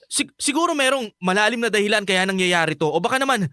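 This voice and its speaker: A man's voice: sits high, expressive, fast talker, sharp tone, no room sound, studio quality. An actor with a Filipino accent playing an emotional, pleading teenage son in a radio drama, stammering through his lines. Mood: angry outburst. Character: distraught teenage son refusing to believe his father left.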